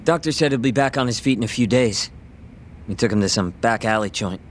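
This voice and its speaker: A man voices an easygoing friend in a video game, chatting loosely at a middling pitch. Faint hiss sits under him. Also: brisk, lightly acted. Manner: casual, laid-back. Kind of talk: video game dub acting.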